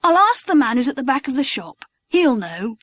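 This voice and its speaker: A woman voicing an eager, helpful young boy in a children's adventure story, brisk and earnest. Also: high voice, very animated, dry recording, studio quality.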